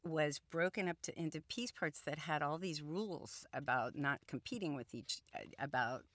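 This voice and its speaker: A woman's voice: sits low, normal speed, a bit bright, no echo. A middle-aged woman from the American Midwest, a guest on a radio interview, talking thoughtfully with frequent ums and restarts. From Columbus, Ohio, United States. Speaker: guest, engineer and entrepreneur.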